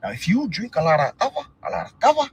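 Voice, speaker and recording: A man from Samoa explaining stories to camera in a lively way, often adding "right?" as he talks. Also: sits high, quick pace, vocal fry, a little hiss or hum. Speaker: video creator.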